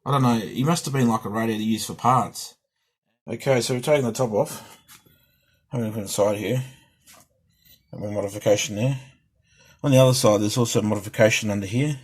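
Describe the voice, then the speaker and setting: A man with a fairly low, slightly husky voice talks casually through a hands-on hobby demonstration. He rambles in an unscripted, halting way, as if explaining things while he handles them. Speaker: hobbyist presenter showing a restored CB radio. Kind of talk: casual hands-on show-and-tell.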